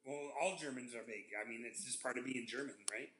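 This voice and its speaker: A man from the Southeast United States with a nasal voice, co-hosting a casual sports podcast and rambling through jokes with halting restarts. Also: medium pitch, a crowd in the background. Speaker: podcast co-host.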